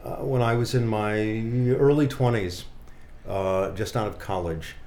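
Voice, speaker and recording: Deep-voiced man telling stories in a roomy space. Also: darkish tone. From Boston area, United States. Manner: halting, anecdotal storytelling with many restarts. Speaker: guest, magician. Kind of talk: casual podcast conversation.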